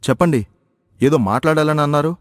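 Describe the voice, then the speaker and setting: A man's voice: fairly high pitch, brisk, no room sound, studio quality, lightly acted. A man playing an earnest young husband and protective brother in a story, questioning and emphatic. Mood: polite, agreeable.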